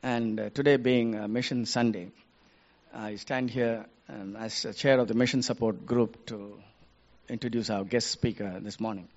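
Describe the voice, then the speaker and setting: A man's voice: fairly low pitch, steady tone, normal speed, darkish tone, highs cut off. A man chairing a church mission group, introducing a guest speaker hesitantly with many uhs. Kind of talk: church announcement.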